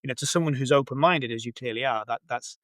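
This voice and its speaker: A man's voice: medium pitch, fast, a bit bright, dry recording, clean studio sound. A man hosting an interview in a casual, discursive conversational style. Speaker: podcast interviewer.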